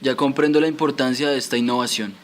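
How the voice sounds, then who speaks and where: A man's voice: medium pitch, fairly even intonation, quick pace, slight background noise, close to an everyday voice. A man playing a curious, respectful son who puts scripted questions to his father in an educational radio drama.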